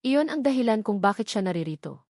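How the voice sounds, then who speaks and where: A woman's voice: medium pitch, very animated, quick pace, a little mellow, dry recording, studio quality. A woman with a Filipino accent reading scripted narration of a romance story.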